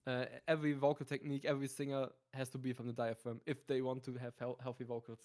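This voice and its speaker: A man speaking quietly as a guest on a late-night stream, calmly explaining things in a hushed voice. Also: mid-range voice, quick pace. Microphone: home mic, talking quietly at night.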